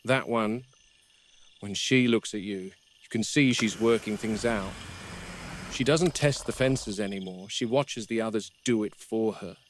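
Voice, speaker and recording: A man playing a grim, wary game warden who narrates a found-footage horror field log in a measured, grave, deliberate manner. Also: lowish voice, close to an everyday voice. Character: grim, wary male game warden logging predator behavior. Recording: noisy VHS-style found-footage recording.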